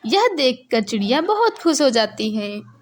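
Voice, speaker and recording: A woman's voice: high voice, sing-song, a little slow, slight background noise. A woman narrating a scripted moral story for children.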